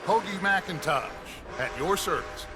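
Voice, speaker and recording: An actor playing a grandiose American outlaw leader in a game cutscene, theatrical, commanding and smoothly ingratiating. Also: male voice, high voice, animated, measured pace, background noise. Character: grandiose, silver-tongued middle-aged male outlaw gang leader. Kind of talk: video game cutscene acting.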